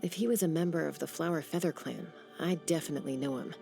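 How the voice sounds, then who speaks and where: A woman's voice: low-pitched, fast talker, creaky, dark tone, soft background music. A woman playing a tough young gunslinger who questions a prisoner with blunt, commanding, clipped questions in a game cutscene.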